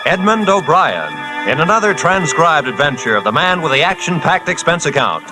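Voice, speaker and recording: A male announcer opening a vintage radio show with a grand, declaiming delivery. His pitch sits a bit high and rises and falls widely, while strong music plays behind him. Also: very animated, normal speed, low-quality recording.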